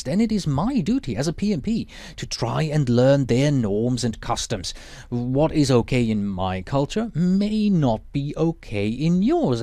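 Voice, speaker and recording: A man's voice: mid-range voice, dark tone, no echo. Swiss German man, explaining with emphasis. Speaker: project management instructor. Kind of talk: lecture.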